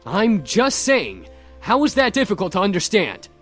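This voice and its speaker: A tough bad-boy incubus in a visual novel game. He is irritable and blunt, his fairly high voice swinging widely in pitch over faint music. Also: wildly swooping pitch, moderate pace, a bit bright, full character voice. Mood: blunt, impatient. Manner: blunt, confrontational.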